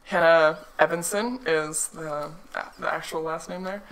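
A woman from Sweden, a stand-up comedian co-hosting a film podcast, with dry deadpan sarcasm. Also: low-pitched, measured pace, low-quality recording. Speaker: comedian co-host. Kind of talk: casual film review chat.

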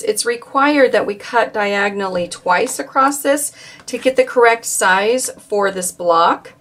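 A woman calmly walking viewers through a quilt block step by step, with occasional fillers as she works. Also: medium pitch. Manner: calm, instructive, with occasional fillers. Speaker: quilting instructor. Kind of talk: step-by-step craft tutorial.

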